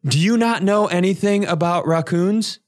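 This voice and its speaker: Guy podcast host, kinda higher voice, animated casual storytelling, swears a lot, does the dialogue. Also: moderate pace. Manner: animated, profane, acts out quoted dialogue.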